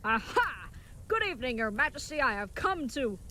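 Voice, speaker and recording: A woman's voice: high-pitched, big pitch swings, moderate pace, bright, forceful tone, slight background noise, heavily acted. A woman playing an earnest, naive, chivalrous young knight in an audio comedy, speaking with stilted, wordy politeness. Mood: eager, polite. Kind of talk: scripted audio comedy acting.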